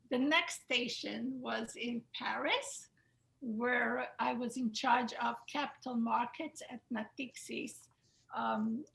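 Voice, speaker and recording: A woman's voice: on the high side, vocal fry, fairly bright tone, poor audio. A woman with an Israeli accent, an attorney moderating a webinar over a video call, talking with frequent hesitations. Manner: hesitant, many fillers. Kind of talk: webinar introduction.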